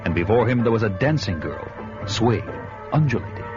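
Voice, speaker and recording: An actor playing a grim, vengeful barbarian warrior who tells his saga in solemn, deliberate dramatic style. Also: lowish voice, sing-song. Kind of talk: dramatic first-person radio narration and acting. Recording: dull lo-fi radio recording, loud music behind.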